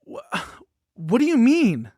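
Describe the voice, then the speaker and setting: A woman's voice: fairly high pitch, wildly swooping pitch, slow, dark tone, no room sound, clean studio sound, heavily acted. A woman playing a naive, bewildered children's storybook in a puppet show, innocent, questioning and incredulous in her delivery. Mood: confused, innocent. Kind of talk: theatrical puppet show dialogue.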